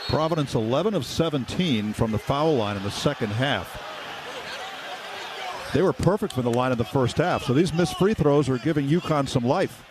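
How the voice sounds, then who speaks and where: A man's voice: medium pitch, animated, moderate pace. A man giving brief, factual color analysis on a live basketball radio broadcast. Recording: courtside with loud crowd and gym noise. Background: crowd and arena ambience.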